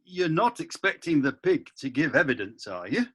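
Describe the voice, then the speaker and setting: A woman playing a stern male magistrate in a period courtroom radio play, questioning witnesses briskly. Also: low-pitched, sing-song, fairly bright tone, husky, full character voice. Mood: incredulous. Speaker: judge. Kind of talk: amateur radio play acting.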